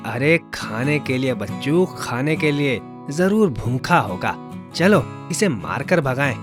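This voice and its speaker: A man playing excitable, wary village boys in a children's folktale, exclaiming and questioning. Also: medium pitch, takes it slowly, over music. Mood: suspicious.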